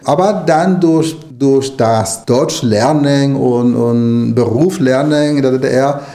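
Mellow middle-aged man. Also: mid-range voice, slow pace. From Nicaragua. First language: Spanish. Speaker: interview guest, writer and language lecturer. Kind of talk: conversational interview answers.

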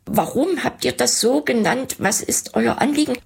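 Gravelly, raspy woman interviewer. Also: on the high side, lively intonation, moderate pace. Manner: curious, asks many questions. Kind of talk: casual conversational interview.

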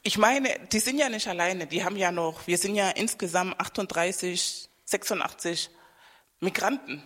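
A woman co-hosting a radio chat, telling anecdotes in a lively, casual way. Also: lowish voice, normal speed, in a large hall. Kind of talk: casual conversation, storytelling.

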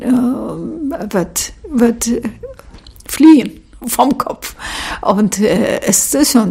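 A middle-aged woman, a native Arabic speaker, answering an interview in German, halting and full of fillers and restarts. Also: mid-range voice, vocal fry, darkish tone, slightly rough. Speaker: social worker.

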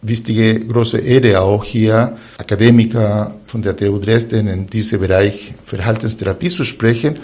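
A man hosting a radio talk show from a studio, introducing guests in a slow, halting, formal way. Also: lowish voice, darkish tone.

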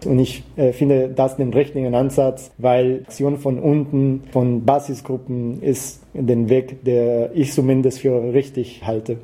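A man's voice: medium pitch, flat delivery, a little slow, few pauses, dark tone. A man from Rica, answering interview questions in German with frequent hesitations and fillers. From Costa Rica. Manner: hesitant, frequent "äh" fillers, non-native grammar. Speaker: activist guest.

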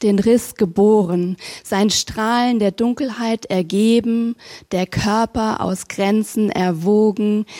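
A woman poet introducing herself and reading her poem aloud in a slow, measured, gently hesitant way at a live reading. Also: medium pitch. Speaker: poet and facilitator.

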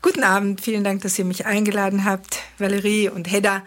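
A woman's voice: mid-range voice, flat delivery, fairly quick. A woman from Israel, a guest in a studio interview, explaining her views at length with frequent hesitations. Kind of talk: conversational interview.

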